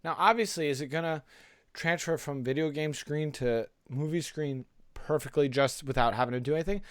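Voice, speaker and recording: A man's voice: fairly high pitch, brisk, smooth, no room sound. Man chatting casually, dry close sound. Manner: hesitant, loose, thinking aloud. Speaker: podcast co-host. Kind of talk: casual chat.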